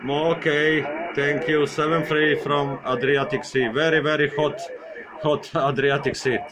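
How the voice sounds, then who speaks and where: Mann, Funkamateur, etwas hohe Stimme, stockend, wiederholt Wörter, Funkgeräusche im Hintergrund. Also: male voice, fairly high pitch, level tone, crowd noise. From Croatia. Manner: halting, repeats words, friendly. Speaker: amateur radio operator. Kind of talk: amateur radio contact.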